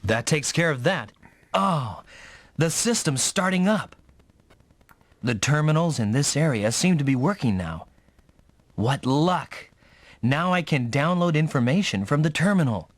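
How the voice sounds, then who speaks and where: Eager, animated young mech pilot. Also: male voice, a little high, a little slow, pauses often, no room sound. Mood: focused, pleased. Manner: dramatic, exclamatory delivery, thinking aloud. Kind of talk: anime-style English video game voice acting.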